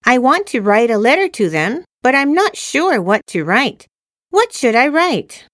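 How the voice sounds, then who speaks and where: A woman's voice: high-pitched, sing-song, measured pace, a bit bright, no echo, studio quality, heavily acted. A woman acting a cheerful, eager student girl in a scripted listening-test dialogue, enunciating carefully like a textbook.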